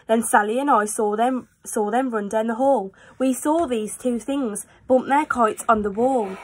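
A woman's voice: fairly high pitch, a little slow, slightly rough, rough recording. A woman reading a children's storybook aloud, recorded on a phone indoors. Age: 20s. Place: small room at home, indoors. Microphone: phone at arm's length.

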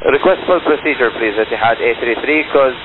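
A man's voice: medium pitch, brisk. Airline pilot on tinny, noisy radio, male. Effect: aviation radio. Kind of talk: air traffic radio communication. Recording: noisy narrowband cockpit radio transmission.

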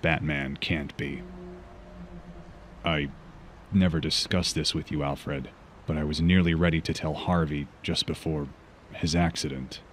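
A man's voice: extremely low pitch, steady tone, unhurried, pauses often, slight background noise. A man voicing a grave, guarded vigilante detective in a comic book fan dub, speaking solemnly and tersely. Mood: brooding, regretful.

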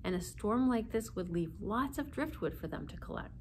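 A woman's voice: mid-range voice, expressive, normal speed, slight background noise. A woman in her forties reading a children's story aloud expressively, seated indoors a short distance from the camera.

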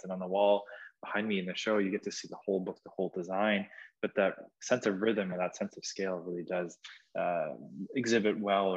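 Man, 30s, moderator, repeats words, low-set voice, lo-fi earbud mic. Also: breathy edge. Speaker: panel moderator. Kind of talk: panel discussion. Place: gallery space, indoors. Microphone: wireless earbuds.

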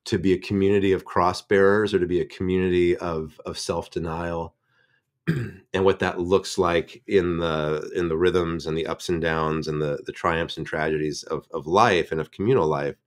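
A middle-aged man with a very low voice talks through an idea slowly and reflectively. He speaks in long, winding sentences, as a professor does in casual discussion. The sound is a video call from his home office. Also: fairly even intonation. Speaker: seminary professor.

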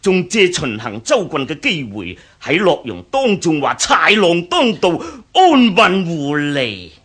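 An actor playing an arrogant, vindictive Han dynasty official in a Cantonese radio drama, haughty and scornful, with a Hong Kong accent. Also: male voice, fairly high pitch, wildly swooping pitch, unhurried, a bit bright, slightly rough, exaggerated voice. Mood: resentful. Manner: haughty, scornful snorts and chuckles.

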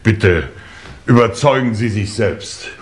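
A police chief inspector in a vintage radio mystery. The voice is low, polite and dryly matter-of-fact, composed in its delivery. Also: male voice, slow pace, background noise. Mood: courteous. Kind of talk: 1950s German radio crime play acting.

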